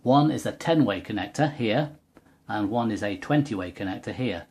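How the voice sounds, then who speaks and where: Man, lowish pitch, husky voice, precise hands-on tutorial delivery, methodical explanations. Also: expressive, normal speed, darkish tone. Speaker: presenter of a technical tutorial. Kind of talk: step-by-step hands-on product demonstration.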